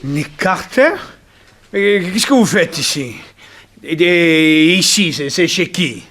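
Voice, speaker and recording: An actor playing a bewildered, dignified gentleman with formal speech in a 1960s French radio serial. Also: a little high, expressive, slow pace, a little hiss or hum. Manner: stammering when baffled, formal.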